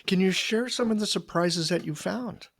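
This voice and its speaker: A man hosting a podcast, relaxed, asking follow-up questions and joking lightly with his guest. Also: high voice, normal speed, vocal fry. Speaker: podcast host. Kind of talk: casual interview conversation.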